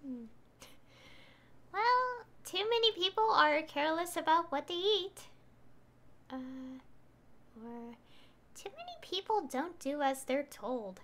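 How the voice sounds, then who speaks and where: A woman's voice: extremely high pitch, lively intonation, takes it slowly, long pauses, a bit bright. A woman streaming a game, casually reading her character's lines aloud with fillers.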